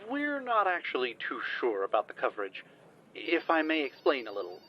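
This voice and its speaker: A man acting a smooth-talking team member in an audio drama, putting on formality and stumbling as he bluffs. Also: a little high, huge pitch swings, brisk, muffled recording, a little hiss or hum. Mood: formal bluffing.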